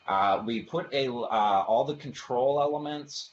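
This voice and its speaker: A man's voice: mid-range voice, measured pace. A man giving an explanatory product presentation for a webinar, talking through technical slides in informal phrasing. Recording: dull lo-fi audio, faint background noise.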